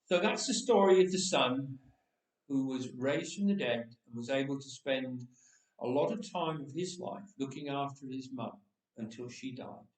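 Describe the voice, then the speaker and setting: A man's voice: medium pitch, normal speed, darkish tone. A man speaking as a storyteller to a church gathering, in a conversational storytelling style. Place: church, voice echoing. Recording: roomy church echo, distant microphone.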